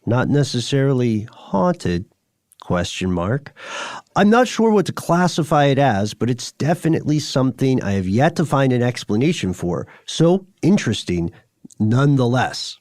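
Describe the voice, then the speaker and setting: A man co-hosting a podcast in a conversational way, with measured, deliberate phrasing, presenting and reading listener letters. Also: medium pitch, very animated, darkish tone, no echo, clean studio sound. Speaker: podcast co-host.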